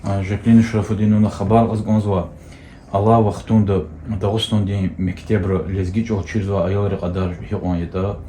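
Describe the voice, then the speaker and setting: Deep-voiced male interviewer, roomy sound. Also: little pitch movement, normal speed, mellow, a little hiss or hum. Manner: short acknowledging murmurs while listening.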